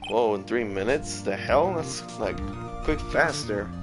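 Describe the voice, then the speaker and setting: A man's voice: on the low side, expressive, unhurried, soft background music. A man hosting a gaming video with casual let's play commentary.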